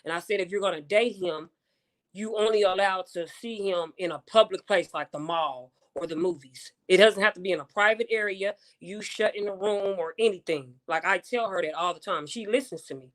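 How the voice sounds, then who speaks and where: A woman's voice: medium pitch, normal speed. A woman, a mother of a teenager, talking earnestly in loose, run-on sentences during a group discussion. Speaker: participant, mother of a teen.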